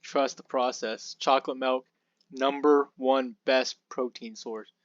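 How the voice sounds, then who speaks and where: A young man with a slightly hoarse voice hosts a podcast, talking through a Bible passage in a relaxed, rambling way. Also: medium pitch, unhurried, no echo, lo-fi sound. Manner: relaxed and rambling, with fillers and asides. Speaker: podcast host. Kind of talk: casual Bible study talk.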